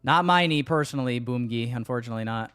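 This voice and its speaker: A man's voice: medium pitch, fast talker, fairly bright tone, clean tone. A man hosting a livestream, chatting casually with his viewers in a slangy, joking way and swearing freely. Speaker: livestream host.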